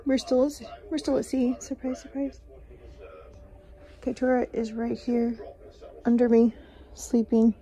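A woman in her thirties, a mother, vlogging casually on a phone with a chatty, relaxed manner. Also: on the high side, rough recording. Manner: chatty, relaxed, gently encouraging. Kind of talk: casual vlog narration. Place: cruise ship dining room and cabin.

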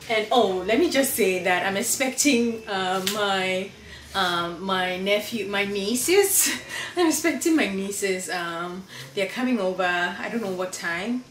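A woman's voice: mid-range voice, a bit bright. Chatty Ghanaian American woman, echoey kitchen. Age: 20s. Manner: chatty, laughs often, filler-heavy. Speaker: vlogger. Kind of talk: casual vlog chat. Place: home kitchen, indoors. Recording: echoey room, distant mic, noisy.

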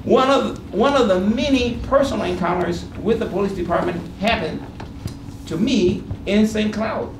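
Middle-aged American male panel speaker, high creaky voice, emphatic delivery of a prepared statement. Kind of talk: reading a prepared statement aloud with spoken asides to an audience. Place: indoor panel room. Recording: roomy, distant microphone, noisy background.

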